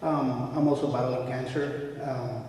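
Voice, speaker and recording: A man's voice: mid-range voice, level tone, a little slow, darkish tone, slight background noise. A man, speaking hesitantly with many fillers. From Spain. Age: 30s. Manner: hesitant, with many ums and likes. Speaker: new citizen giving a short speech. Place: large courtroom or hall. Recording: echoey hall, heard from far off.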